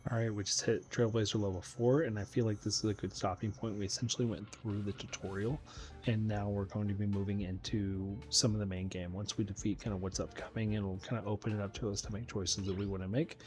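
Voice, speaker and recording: Guy with a deep-ish voice chatting through his game, faint music behind. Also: little pitch movement, fast talker, darkish tone. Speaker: host of a game playthrough channel. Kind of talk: casual gameplay commentary.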